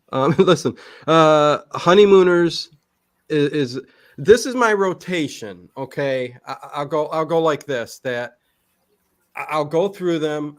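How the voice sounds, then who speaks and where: Higher-voiced man chatting casually. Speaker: interview guest. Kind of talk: casual chat.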